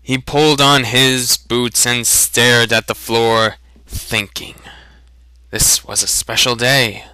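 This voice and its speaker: Male storyteller, bright and smooth, deliberate dramatic delivery, very dry sound. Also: medium pitch, level tone, dry recording, poor audio. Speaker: reader of a fantasy novel. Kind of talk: dramatic audiobook reading with joking asides.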